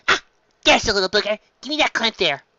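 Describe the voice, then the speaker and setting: A woman voicing a small, excitable, matter-of-fact reindeer doctor from a cartoon, in a fan fiction reading. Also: mid-range voice, quick pace, no echo, dull audio, pitched above the performer's own voice. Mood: chatty, cheerful.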